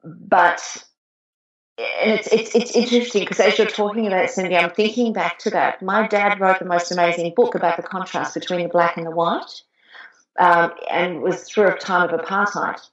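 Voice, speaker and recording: Woman from south africa, podcast co-host, hesitant, thoughtful, lo-fi echoey audio. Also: fairly low pitch. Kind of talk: casual chat. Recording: roomy, dull lo-fi sound.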